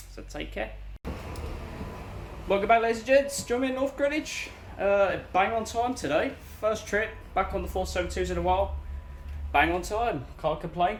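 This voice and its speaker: Hoarse rambling London bus driver. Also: male voice, on the high side, echoey room, background noise. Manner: rambling, filler-heavy, loses his train of thought. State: hoarse, croaky voice. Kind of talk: casual vlog chat. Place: inside a bus cab.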